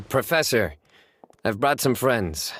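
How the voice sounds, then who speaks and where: An actor playing a serious young investigator in a video game, measured and formal with a deadpan delivery. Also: male voice, mid-range voice, slight background noise, full character voice. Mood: calm. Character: serious, composed young male investigator officer.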